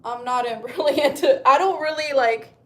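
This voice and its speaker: Rambling, foul-mouthed woman, slightly high voice. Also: normal speed. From Chile. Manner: rambling, heavy swearing. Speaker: livestreamer. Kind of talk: casual livestream chat. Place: at home, indoors.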